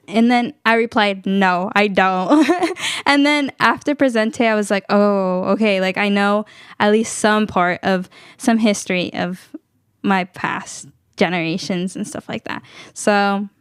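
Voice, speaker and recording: Young American woman, casual radio chat, clear smooth tone, dry studio sound. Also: mid-range voice, no echo. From United States. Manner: chatty, many fillers and "like"s. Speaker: youth radio co-host. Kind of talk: casual conversation.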